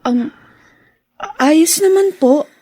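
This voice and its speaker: A woman's voice: high voice, animated, very slow, warm tone, no echo, full character voice. A woman playing a timid, sorrowful young daughter in a radio drama, with a hesitant, meek, plaintive way of speaking. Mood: meek, sad. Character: timid, tearful young daughter, reluctant bar girl.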